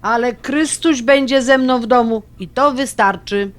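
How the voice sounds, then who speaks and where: A woman's voice: a little high, a little slow, close to an everyday voice. A woman playing a stubborn, possessive elderly grandmother in an audio drama, blunt, folksy and grumbling. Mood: skeptical.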